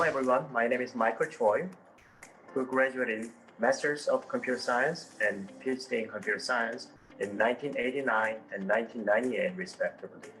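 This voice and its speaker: Middle-aged professor. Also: male voice, medium pitch, fairly bright tone, poor audio. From Korea. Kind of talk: recorded welcome message.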